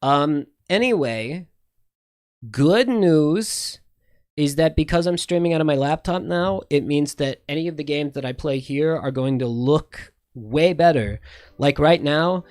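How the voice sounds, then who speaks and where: A man's voice: on the high side, a little slow, a little mellow, dry recording, clean studio sound. A man with a slight lisp, chatting casually to his stream viewers in a rambling, profane, self-correcting way. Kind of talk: casual livestream chat.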